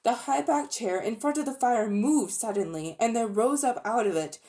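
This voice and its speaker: A woman giving an expressive, animated dramatic reading of a children's storybook. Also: a little high, normal speed. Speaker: reader.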